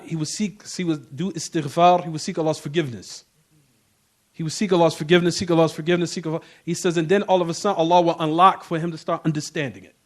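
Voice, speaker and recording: A man giving an informal Islamic lecture, emphatic and repetitive, often checking in with rhetorical questions. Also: on the high side. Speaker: religious teacher giving a class.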